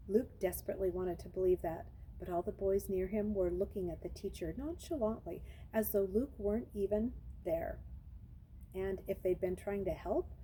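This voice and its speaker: A woman's voice: medium pitch, warm tone, a little hiss or hum, poor audio. A woman reading a children's novel aloud, narrating expressively and dramatizing the story.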